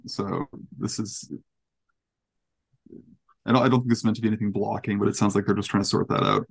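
A man's voice: on the low side, fairly even intonation, fairly quick, vocal fry, slightly rough. A man in his twenties casually recapping a meeting as a panelist, tentative and correcting himself as he goes. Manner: tentative, hedging, self-correcting. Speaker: panelist reporting on council meetings. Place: indoor room on video call. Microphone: headset on video call.

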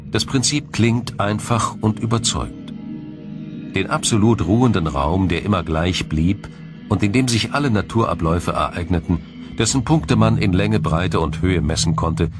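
A man reading a scripted science documentary in a measured, formal, explanatory manner. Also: sits low. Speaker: documentary narrator.

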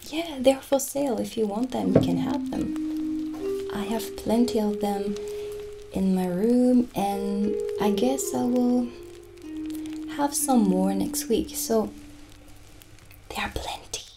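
Slow, soft-spoken witch shopkeeper, acted by a woman. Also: medium pitch. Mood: gentle, inviting. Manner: soft-spoken, soothing, lapsing into breathy near-whispers. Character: gentle, mystical witch shopkeeper selling potions. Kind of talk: improvised ASMR roleplay. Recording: soft background music. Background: soft music.

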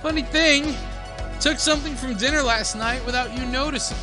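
Very high-pitched, gleeful madman. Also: normal speed, music in the background. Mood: gleeful menace. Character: gleefully menacing, vengeful madman with a knife. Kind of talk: audio drama acting.

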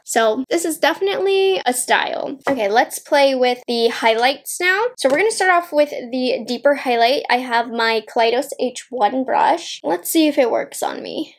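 Female beauty vlogger, twenties, slightly high voice, informal talk to camera, chatty think-aloud delivery. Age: 20s. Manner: chatty, thinking aloud while applying makeup. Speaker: beauty vlogger reviewing makeup. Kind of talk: casual chat to camera, makeup review. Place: small indoor room at home.

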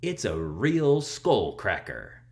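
Deep-voiced man, hugely animated reader. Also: slow pace. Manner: theatrical, expressive. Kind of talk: animated picture book read-aloud and explanation. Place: small room at home, indoors.

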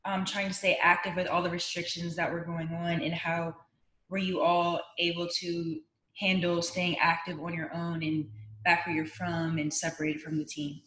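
A woman's voice: fairly low pitch, level tone, normal speed, fairly bright tone, a little hiss or hum. A young woman hosting an interview, putting questions to a group over a video call. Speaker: interviewer, host. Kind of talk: scripted intro and interview questions. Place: indoor room on a video call. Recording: roomy, echoing video call.

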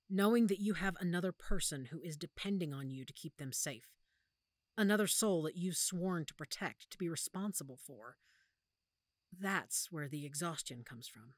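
A woman acting a protective, weary werewolf pack leader in an audio roleplay, speaking softly and measuredly with thoughtful pauses. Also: deep voice, no echo, studio quality. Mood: weary, apologetic. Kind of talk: audio roleplay drama, one-sided conversation.

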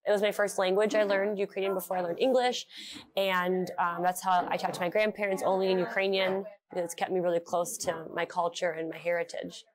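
Young woman, shop owner, lowish voice, earnest and emotional, lapel mic, indoor shop. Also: level tone, brisk. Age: young adult. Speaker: small business owner. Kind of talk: interview.

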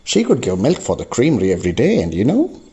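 Radio drama role: lonely old crofter, acted by a man, very husky, swooping, chatty and hospitable. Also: fairly low pitch, wildly swooping pitch, quick pace, dark tone, exaggerated voice. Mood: excited, confiding. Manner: effusive, chatty, repeats himself. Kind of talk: amateur radio drama acting.

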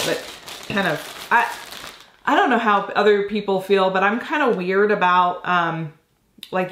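A woman's voice: medium pitch, echoey room, background noise. A woman in her forties with a slightly nasal voice from a cold, chatting casually as she unboxes items at a desk in her home office. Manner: chatty, thinking aloud while handling items. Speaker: reseller vlogger.

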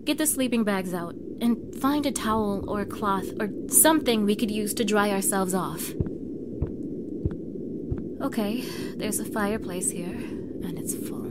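A woman's voice: medium pitch, heavy background noise, close to an everyday voice. A young woman playing a bossy, snippy mean girl in an acted audio roleplay, exasperated and sarcastic, speaking straight to the listener. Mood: urgent, bossy, then calmer, subdued.

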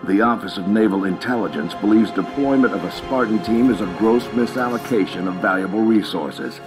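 An actor playing a stern, resolute senior military commander in a video game, clipped and formal. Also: male voice, lowish voice, fairly quick, music in the background, natural voice. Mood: grave. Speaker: military colonel giving a briefing. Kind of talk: video game cutscene acting.

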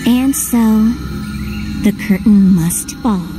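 A woman playing a cold, solemn villain in a video game, delivering a theatrical, ominous monologue in measured phrases. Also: mid-range voice, over music, natural voice. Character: solemn, cold female villain foretelling the end of heroes. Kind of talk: scripted game cutscene monologue.

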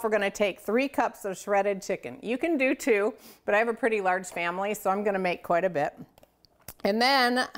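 A middle-aged woman hosting a casual cooking demonstration, chatty, folksy and enthusiastic as she talks straight to viewers. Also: medium pitch. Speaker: cooking show host. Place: home kitchen, indoors.

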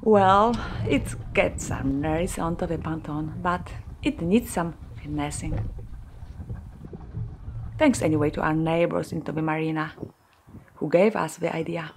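A woman in her forties whose first language is Polish, narrating a sailing vlog in a slow, careful, explaining manner. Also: deep voice, noisy background. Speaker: vlogger narrator. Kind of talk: voice-over narration.

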